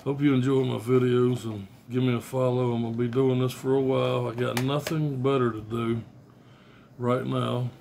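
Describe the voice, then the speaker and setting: A man's voice: medium pitch, level tone, normal speed, creaky, darkish tone, slight background noise. A middle-aged man rambling casually and bluntly about his cassette collection, swearing freely, filmed on a phone at arm's length in a small room. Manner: blunt, profane, offhand. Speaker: music collector vlogger. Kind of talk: casual rambling show-and-tell. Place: small bedroom indoors. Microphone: phone camera at arm's length.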